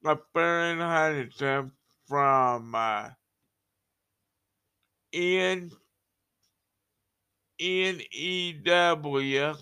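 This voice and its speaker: A man introducing a wrestling broadcast, halting and spelling out names. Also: fairly high pitch, bright, forceful tone, clear, resonant tone. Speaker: show presenter.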